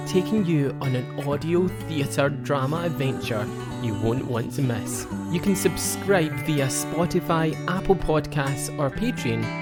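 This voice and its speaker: A podcast announcer reading scripted promotional narration. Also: mid-range voice. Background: guitar music.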